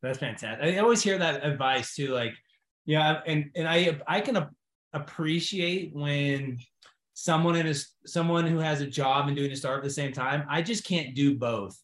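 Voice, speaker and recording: Higher-voiced man moderating in an echoey room. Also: moderate pace, fairly bright tone. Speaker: panel moderator, startup founder. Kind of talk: casual interview chat. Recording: roomy, echoing sound.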